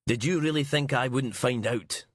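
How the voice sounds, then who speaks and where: A man's voice: medium pitch, normal speed, breathy edge, no echo, clean studio sound, voice pushed up, close to an everyday voice. Man acting out a blustering, enraged police inspector. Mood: furious. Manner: shouting, accusatory, sputtering. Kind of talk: audiobook character acting.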